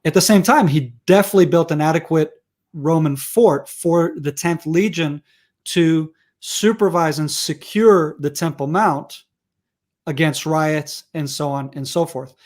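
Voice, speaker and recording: A man's voice: on the high side, unhurried, a little mellow. A middle-aged man who grew up in Israel and whose first language is Hebrew, answering interview questions emphatically with many restarts. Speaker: guest expert.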